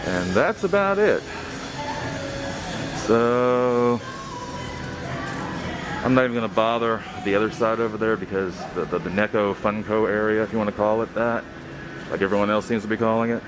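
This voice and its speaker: A man hosting a toy-hunting vlog, commenting casually and haltingly off the cuff as he browses inside a large store. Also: fairly low pitch, music in the background, poor audio.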